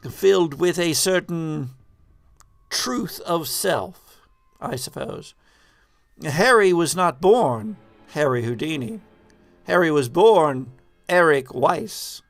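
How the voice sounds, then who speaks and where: A man playing an eccentric spiritualist in a tabletop game, speaking in halting, ornate, philosophical phrases. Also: a little high, creaky, dry recording, exaggerated voice. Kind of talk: in-character tabletop roleplay.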